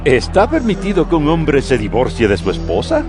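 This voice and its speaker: A man's voice: on the high side, wildly swooping pitch, moderate pace, music in the background. An actor playing a challenging male Pharisee in a scripture audio drama, declamatory and probing. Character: male Pharisee, challenging, testing religious elder.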